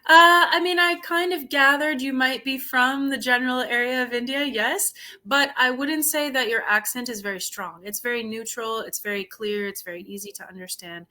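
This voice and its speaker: A young woman with a bright, crisp voice, chatting casually as an English tutor. She talks in a loose, hedging way, full of "like" and "I don't know". She is heard over a video call. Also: high voice, moderate pace. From United States. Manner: relaxed, hesitant, lots of "like" and "I don't know". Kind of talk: casual conversation practice.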